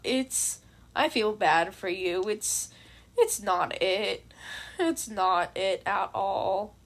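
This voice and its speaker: A woman's voice: mid-range voice, drawn-out words. A woman reading fan fiction aloud as an amateur narrator, in scripted storytelling with casual asides.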